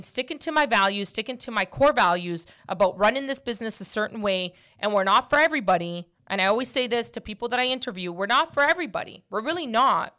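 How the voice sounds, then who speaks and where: A woman's voice: mid-range voice, fairly bright tone. A woman in her early forties talking off the cuff to her viewers as host, blunt and emphatic, repeating phrases for stress. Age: early 40s. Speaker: host, brokerage owner. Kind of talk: unscripted vlog talk to viewers.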